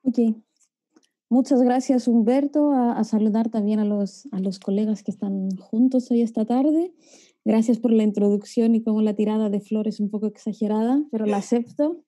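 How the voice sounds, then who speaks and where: Very mellow, higher-pitched woman. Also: normal speed, no room sound. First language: Greek. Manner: reflective, frequent checking tags. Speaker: geographer, panelist. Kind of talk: informal academic explanation. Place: remote online video forum.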